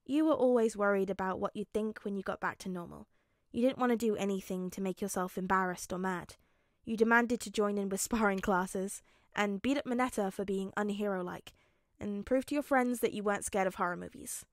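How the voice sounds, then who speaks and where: A woman voicing a kind, earnest teenage boy with a soft, lightly teasing way of talking, in a story reading. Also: medium pitch, fairly quick, dry recording, studio quality, lightly acted. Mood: reassuring, earnest. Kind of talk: acted audiobook-style fan fiction reading.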